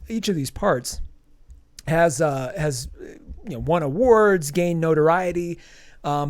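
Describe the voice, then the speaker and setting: A man with a fairly high voice talks through a comic review on a podcast, his nose stuffy from allergies. He rambles, restarting sentences and filling gaps with ums. The sound is dry and close. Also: a little mellow, no room sound. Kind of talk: casual review chat.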